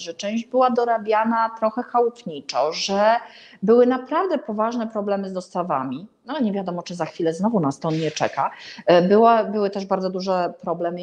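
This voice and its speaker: A middle-aged woman gives an informal presenter's talk indoors, thoughtful and halting, often correcting herself as she goes. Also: mid-range voice, a little mellow. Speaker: gallery host reviewing books. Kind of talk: informal video talk, book recommendation. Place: indoor room with paintings.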